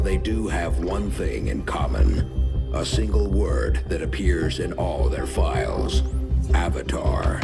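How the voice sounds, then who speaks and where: A shadowy commander of a resistance in a game briefing. The voice is very low and gravelly, with wide, deliberate swings in tone. He sounds grave, formal and ominous over loud music. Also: heavily acted. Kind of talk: scripted video game briefing.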